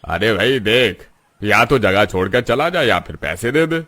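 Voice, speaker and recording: A man's voice: fairly low pitch, very animated, unhurried, sharp tone, heavily acted. A man voicing a scheming, greedy rich moneylender in a cartoon moral story. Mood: sly, smug.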